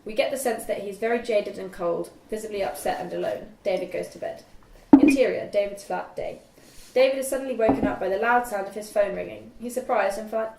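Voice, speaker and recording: A woman's voice: medium pitch, warm tone. A young woman reading out screenplay stage directions as scripted narration. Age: young adult. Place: indoor room at a group read-through. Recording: echoey room, distant mic, faint noise.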